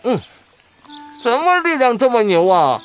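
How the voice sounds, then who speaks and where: A cocky, carefree male adventurer in an audio drama. The delivery is blustering and mumbled as if through food, with very animated pitch and faint music behind. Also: a little slow. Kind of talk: Chinese audio drama acting.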